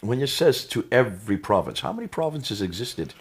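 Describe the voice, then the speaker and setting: Deep husky American man, older. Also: very animated, a little fast. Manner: leading with rhetorical questions. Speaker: co-host. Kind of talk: conversational discussion. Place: indoor study with bookshelves.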